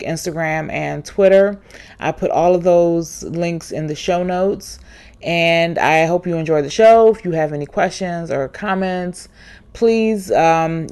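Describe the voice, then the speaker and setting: A woman hosting a podcast, introducing the show and then interviewing a guest in a relaxed way, with frequent ums and restarts. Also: lowish voice, clear, resonant tone. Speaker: podcast host.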